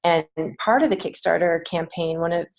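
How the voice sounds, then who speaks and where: Lower-pitched American woman, earnest and reflective. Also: creaky. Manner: earnest, reflective, halting with many false starts. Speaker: podcast guest, children's author and creative writing teacher. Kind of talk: casual interview conversation.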